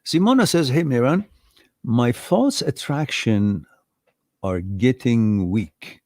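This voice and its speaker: A man's voice: mid-range voice, expressive, slow, warm tone, dry recording, clean studio sound. A man hosting a live question-and-answer stream, reading out viewer messages and explaining his ideas step by step. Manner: thoughtful, repeats and builds ideas step by step, chuckles at mix-ups. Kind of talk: live Q&A talk.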